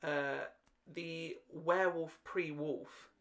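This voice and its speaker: A woman's voice: low-pitched, fairly even intonation, slow, fairly bright tone. A woman in her twenties chatting casually as a podcast co-host, with a giggly, slangy, dramatic way of talking. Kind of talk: casual chat.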